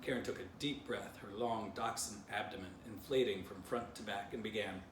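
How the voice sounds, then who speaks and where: A man narrating a children's storybook aloud in an animated way, as an author reading his book. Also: fairly low pitch, moderate pace. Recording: distant mic, roomy, noisy.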